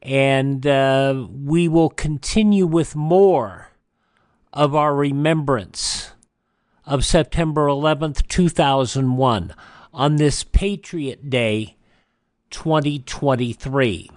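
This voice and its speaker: Unhurried male morning radio host. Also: medium pitch, no room sound, studio quality. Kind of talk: live local radio announcing.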